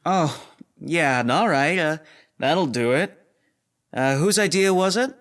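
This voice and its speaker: A man playing an easygoing but hesitant space security officer in an audio drama, speaking casually and haltingly. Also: fairly high pitch, expressive, fairly bright tone. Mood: hesitant, taken aback.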